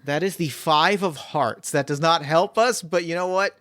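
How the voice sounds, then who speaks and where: A man's voice: fairly high pitch, moderate pace, a bit bright, no room sound. A man running a tabletop murder mystery game as game master, talking casually and rambling with many fillers and restarts.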